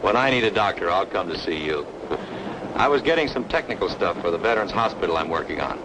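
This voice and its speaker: A man's voice: animated, fast talker. An actor playing a guarded, moody man with a curt, offhand manner in an old-time radio drama. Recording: noisy old radio recording, vehicle rumble.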